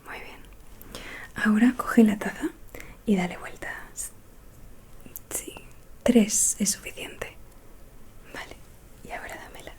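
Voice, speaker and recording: A woman from playing a gentle, mysterious fortune-telling witch in an ASMR roleplay, speaking in a soft, soothing whisper. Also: medium pitch, husky, exaggerated voice. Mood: gentle, attentive. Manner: slow, soothing, confiding.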